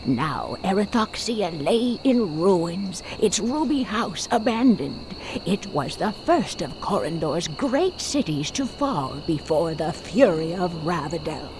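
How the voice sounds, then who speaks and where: A woman playing a solemn, mystical narrator in a fantasy video game, telling of the realm in a grand, measured storyteller delivery. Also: on the low side, very animated, background noise, heavily acted. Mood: ominous, grave. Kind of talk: dramatic scripted fantasy narration.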